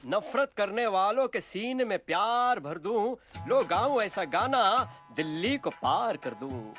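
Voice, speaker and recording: A man's voice: high voice, sing-song, takes it slowly, fairly bright tone, faint music behind, full character voice. A man voicing a chirpy cartoon bat, a self-styled tour guide with rhyming, salesman-like patter. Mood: showy, confident. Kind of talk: animated film character acting.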